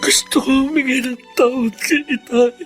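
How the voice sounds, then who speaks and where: A man's voice: extremely high pitch, steady tone, a bit bright. Remorseful Filipino father, music underneath. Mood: anguished, confessional. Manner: halting, earnest, emotional. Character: remorseful adult father, troubled by a family secret. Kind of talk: Filipino radio drama acting.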